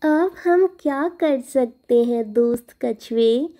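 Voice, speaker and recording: Woman as an earnest, high-voiced cartoon swan. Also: takes it slowly, warm tone. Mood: exasperated. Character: caring swan friend, earnest, firm and exasperated. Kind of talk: animated children's fable acting.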